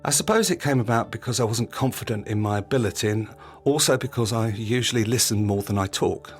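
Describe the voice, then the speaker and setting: A man's voice: fairly low pitch, a little fast, soft background music. A man hosting a podcast, delivering a scripted, confiding monologue in a reflective, plain-spoken way. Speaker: podcast host.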